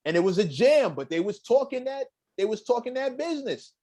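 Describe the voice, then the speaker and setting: A middle-aged man hosting a casual talk show, speaking emphatically and getting animated as he recites lyrics. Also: very high voice, brisk. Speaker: podcast host. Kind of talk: casual chat.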